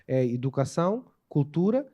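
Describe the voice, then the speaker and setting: A man with an Angolan Portuguese accent, an author talking as a guest in a relaxed interview, animated and often voicing other people in quick asides. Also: fairly high pitch.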